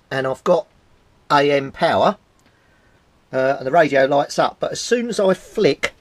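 A man's voice: a little high, dry recording. A British man giving an informal, explanatory repair update as a hobbyist, thinking aloud while he works with his hands. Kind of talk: casual explanatory vlog while handling equipment. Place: indoors at a home workbench.

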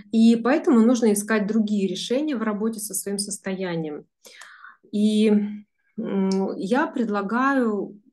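A woman's voice: medium pitch, a little mellow. A woman in her forties, a business psychologist, earnestly explaining to a group over a video call, with many fillers. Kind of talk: online group talk, explaining.

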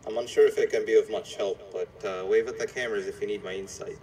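A man voicing a scheming cartoon monster mascot in a video game, falsely friendly and quietly menacing, in an ingratiating conversational manner with hesitant pauses. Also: on the low side, steady tone, recorded from a distance, close to an everyday voice. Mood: friendly, helpful. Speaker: game character guiding the player. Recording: echoey playback filmed off a screen, noisy.

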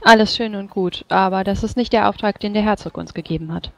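A woman's voice: mid-range voice, brisk, creaky, no echo, close to an everyday voice. A woman playing a stern, proper adventurer in a tabletop game, speaking formally and precisely, with a pointed edge. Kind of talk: tabletop roleplay in character.

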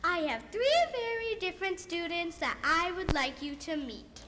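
A woman playing a brisk, firm monster homeroom teacher in an amateur puppet show. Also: extremely high pitch, animated. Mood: introducing herself. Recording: reverberant hall with faint noise.

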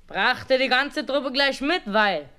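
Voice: high voice, lively intonation, slow, sharp tone, smooth, exaggerated voice. A performer playing a cheeky, eager street-urchin boy who leads a gang in a German audio drama.

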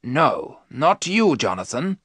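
A man voicing a grave, learned older professor and vampire hunter, speaking emphatically and deliberately in an audiobook story. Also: on the high side, very animated, fairly bright tone, breathy edge, no room sound, voice pushed up, exaggerated voice. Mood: firm.

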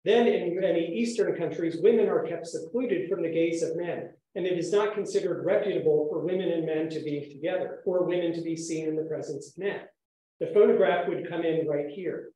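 A man giving an academic conference talk as a lecturer, speaking in a measured, formal, articulate way in an indoor room. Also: a little high, warm tone. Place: echoey indoor room, speaker standing while presenting. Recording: very echoey room sound.

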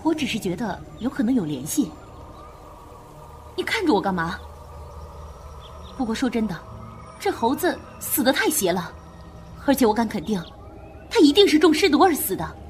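A woman's voice: on the high side, sing-song, moderate pace, pauses often, darkish tone, slight background noise. A woman playing a superstitious, jumpy, outspoken grave robber in a wartime audio drama. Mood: uneasy, insistent. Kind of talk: Chinese audio drama acting.

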